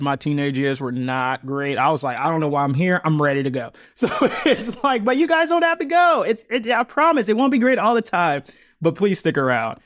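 A man in his thirties, a guest on an interview podcast, talking earnestly and reflectively, often laughing and jumping up in pitch when amused. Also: fairly bright tone, dry recording, clean studio sound. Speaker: podcast guest, audio storyteller.

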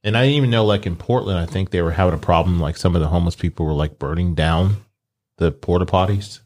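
Deep-voiced, laid-back male co-host. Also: quick pace, a little mellow. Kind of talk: casual podcast chat.

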